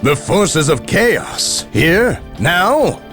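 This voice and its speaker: An actor playing an anxious space marine subordinate in a video game, urgent and questioning. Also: male voice, on the high side, big pitch swings, drawn-out words, fairly bright tone, faint music behind, exaggerated voice. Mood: alarmed, suspicious. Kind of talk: dramatic video game acting.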